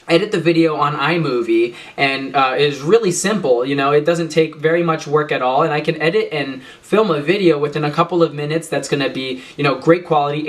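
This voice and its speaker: A man's voice: fairly high pitch, a bit bright, recorded from a distance. A young man recovering from a cold, chatting casually to camera about his filming gear from a small home office, with lots of "you know" and "um". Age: young adult. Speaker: YouTube vlogger.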